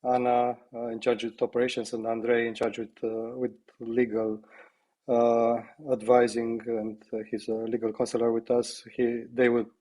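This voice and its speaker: Halting, slightly hoarse male presenter. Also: medium pitch, completely flat delivery, dark tone. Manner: halting, self-deprecating. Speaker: company co-founder presenting. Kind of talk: webinar presentation. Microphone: video call.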